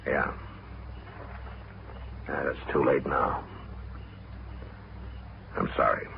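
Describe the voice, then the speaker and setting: An actor playing a calm, firm frontier marshal with a measured, commanding delivery. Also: male voice, a bass voice, gravelly, heavily acted. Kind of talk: 1950s radio drama acting. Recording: tinny, hissy old radio broadcast.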